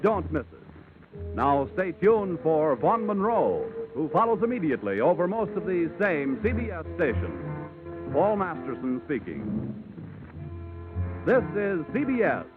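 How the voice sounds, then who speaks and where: Casting: male old-time network announcer, animated and polished, fairly high voice, music behind, phone-like vintage broadcast. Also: a little slow. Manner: polished, formal, promotional. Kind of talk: 1940s radio announcing.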